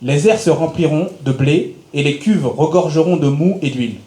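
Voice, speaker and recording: A man with a somewhat high voice reads scripture aloud, steady and even. The reading echoes around a church hall. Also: normal speed. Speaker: scripture reader.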